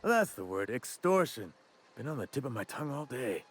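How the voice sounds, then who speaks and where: An actor playing a sly, cheerfully crooked small-town schemer in a video game, folksy, slangy and insinuating. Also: male voice, mid-range voice, quick pace, faint music behind, lightly acted. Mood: scheming. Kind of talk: video game character dialogue.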